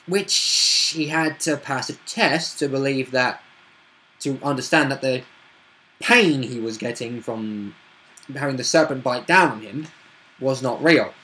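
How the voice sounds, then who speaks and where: A man casually recapping a tabletop roleplay session as one of its players, in a rambling, halting, unscripted way. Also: mid-range voice, sharp tone.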